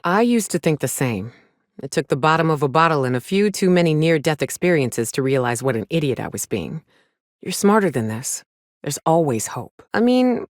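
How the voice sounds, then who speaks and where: A gruff, jaded female mercenary from a space frontier video game. Her voice sits on the low side and swings expressively as she talks. She is blunt, sardonic and defiant, and the recording is dry and close. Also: no room sound. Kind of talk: video game character dialogue.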